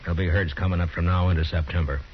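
An actor playing a steady, fair-minded marshal of the Old West in a 1950s radio western, speaking in a measured, plain way. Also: male voice, low voice, muffled recording, lightly acted. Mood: reasoning, weary. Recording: noisy, band-limited 1950s radio recording.

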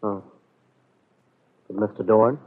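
Earnest young soldier, old radio. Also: male voice, lowish voice, steady tone, slow. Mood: earnest pleading. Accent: American. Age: young adult. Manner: hesitant, earnest, pleading. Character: earnest young soldier pleading with the girl he loves. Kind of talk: old-time radio soap opera acting. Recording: muffled vintage radio broadcast recording.

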